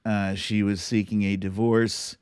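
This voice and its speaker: A man's voice: lowish voice, level tone, unhurried, a little mellow, clear, resonant tone, dry recording, clean studio sound. A man hosting a casual livestream, chatting and reacting to clips in a playful, theatrical way. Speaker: host of a live online show.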